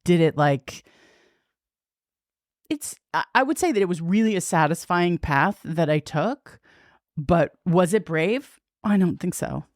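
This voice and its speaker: A woman's voice: lowish voice, expressive, a little slow, no room sound, studio quality. A woman in her fifties, a podcast guest talking frankly and with self-mocking humor. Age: 50s. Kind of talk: candid conversational interview.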